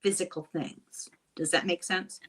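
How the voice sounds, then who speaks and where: A woman's voice: fairly low pitch, fairly even intonation, unhurried, fairly bright tone, slightly rough. An older woman hosting a casual interview, asking inquisitive questions and wandering into asides as she talks. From Ireland. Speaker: host and interviewer.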